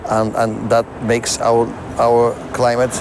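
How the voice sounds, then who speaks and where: A man giving a halting explanation in an interview, repeating words as he goes. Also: medium pitch, completely flat delivery, noisy background.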